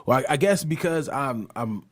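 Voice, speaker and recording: A man's voice: medium pitch, expressive, normal speed. An American man talking casually and slangily as a guest on a podcast. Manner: slangy, informal.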